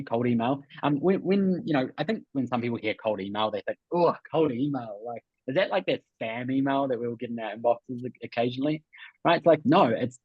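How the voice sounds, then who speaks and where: A man's voice: medium pitch, slightly rough. A man with a New Zealand accent hosting a coaching webinar, explaining emphatically and repeating key points with frequent check-ins. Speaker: host and business coach. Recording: muffled, roomy webinar audio.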